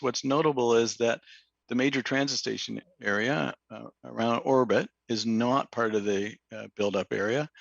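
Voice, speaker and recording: A man with a slightly hoarse, gravelly voice works through a planning presentation at a public meeting, pausing on fillers and restarting his phrases. The audio is dull and lo-fi, with a dry, close sound. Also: mid-range voice, no echo. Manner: halting, many fillers and restarts. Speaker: planning consultant presenting to council.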